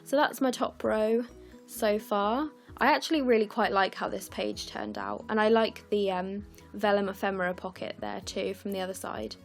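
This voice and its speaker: A young woman from the UK, croaky and scratchy, chatting through her scrapbooking as a casual voice-over, with lots of ums and apologies. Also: mid-range voice, steady tone, moderate pace, faint music behind. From United Kingdom. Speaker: scrapbooking vlogger. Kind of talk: casual process video voice-over.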